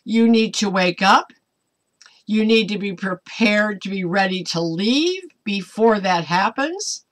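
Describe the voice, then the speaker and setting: An American woman in her seventies preaching informally to camera at home, earnest and exhortatory in a deliberate way. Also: medium pitch, lively intonation. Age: 70s. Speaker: online ministry preacher. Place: small cluttered home room, indoors.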